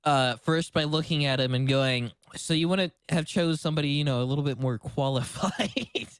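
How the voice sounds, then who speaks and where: Male co-host: fairly high voice, wry, stammering, self-deprecating, dry recording. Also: moderate pace, clean tone, no room sound. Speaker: livestream co-host. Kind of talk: casual joking chat.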